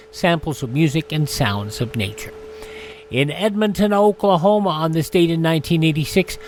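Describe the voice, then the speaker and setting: A man's voice: on the high side, slight background noise. A man hosting a radio show, reading scripted items about dates in history. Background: music.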